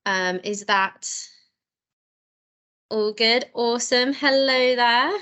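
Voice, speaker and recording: A woman's voice: fairly high pitch, slow, pushed and bright, lo-fi sound. A woman hosting an online session over a video call, welcoming her guest in an upbeat, friendly way. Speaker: host.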